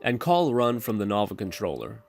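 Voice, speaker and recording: A man's voice: fairly low pitch, sing-song, normal speed, vocal fry, faint music behind. A man hosting a programming tutorial, narrating step-by-step instructions in a screencast style.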